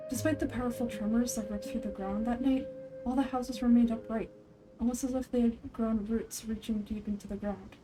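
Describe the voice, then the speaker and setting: A woman plays a solemn villager from a fantasy game, recounting an old local legend. The telling is measured storytelling over music. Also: on the high side, fairly even intonation, close to an everyday voice.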